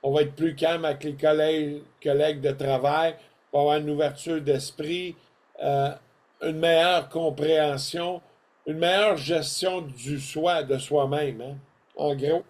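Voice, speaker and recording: A man's voice: a little high, measured pace. An older man with a Québécois French accent, chatting casually on a podcast in a folksy, storytelling way. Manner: folksy, animated storytelling with colloquial asides. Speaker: co-host presenting products.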